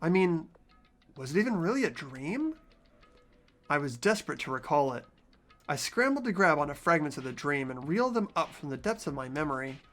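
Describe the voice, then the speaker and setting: A performer voicing an anxious, bewildered high school boy, reading visual novel narration in an earnest, dramatic, hesitant way. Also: sing-song, normal speed, fairly bright tone. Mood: puzzled, anxious. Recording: background music behind voice.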